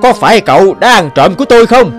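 An actor playing an aggrieved, accusing middle-aged male shopkeeper in an animated drama. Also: high-pitched, quick pace, soft background music.

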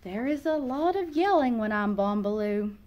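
Expressive woman reading a picture book. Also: fairly high pitch, normal speed, lo-fi sound. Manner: expressive, acts out a young child narrator's feelings. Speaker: read-aloud host, storyteller. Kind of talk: children's picture book read-aloud. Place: small indoor classroom or reading room.

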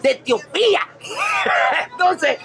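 A man's voice: very high-pitched, slow, gravelly, shouting, over loud music. A middle-aged man hosting a vlog in a small coffee shop, talking casually and emphatically close to the camera. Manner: animated, emphatic, gesturing. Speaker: vlog host. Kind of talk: casual chat. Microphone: camera held close at arm's length.

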